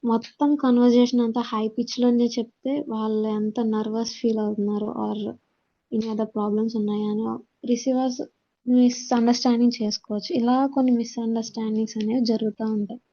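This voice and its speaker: A woman's voice: a little high, flat delivery, a little fast, warm tone. A woman with a Telugu accent giving a lesson as an instructor, explaining points from slides in a dull, lo-fi recording. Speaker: instructor teaching communication skills. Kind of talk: slide-based lesson, reading points aloud then explaining.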